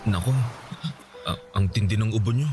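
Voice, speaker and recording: Male actor as devoted adult son, lowish voice, gentle concern, radio drama, music behind. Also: normal speed, lightly acted. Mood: concerned, coaxing. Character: caring adult son fussing over sick mother.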